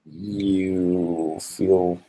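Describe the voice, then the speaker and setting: Deep-voiced man asking winding questions. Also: level tone, mellow, low-quality recording. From Hawaii. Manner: halting, long winding questions. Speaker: interviewer. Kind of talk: casual interview.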